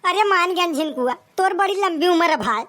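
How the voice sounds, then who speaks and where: A woman's voice: very high-pitched, lively intonation, brisk, bright, forceful tone, full character voice. A woman with an Awadhi accent voicing a scheming, boastful young male wannabe gangster in a comic cartoon, pushy and bragging.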